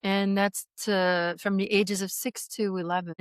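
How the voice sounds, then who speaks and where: A woman in her sixties from Belgium, recounting her childhood as an interview guest, halting and reflective with many pauses and restarts. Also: mid-range voice, level tone, clear, resonant tone, no echo, clean studio sound. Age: 60s. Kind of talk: personal testimony in interview.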